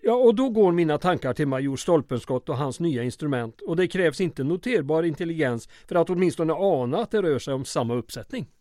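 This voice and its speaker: A man's voice: fairly high pitch, lively intonation, few pauses, darkish tone, dry recording, clean studio sound, natural voice. A man playing a flustered, wordy and evasive small-town mayor in an old-time radio comedy drama. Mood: suspicious, exasperated. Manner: wordy, formal, stammering when flustered.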